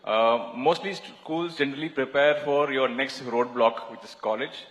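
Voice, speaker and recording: A man with an Indian English accent answering a panel question, halting often with fillers and restarts. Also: medium pitch, a bit bright. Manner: hesitant, many fillers and restarts. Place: large conference hall. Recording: reverberant hall.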